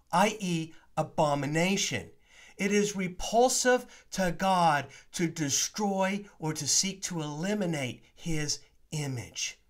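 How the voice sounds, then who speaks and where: A man in his forties, a priest giving an earnest, emphatic sermon-style teaching to a webcam in his home study. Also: high voice. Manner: earnest, emphatic preaching with rising stresses.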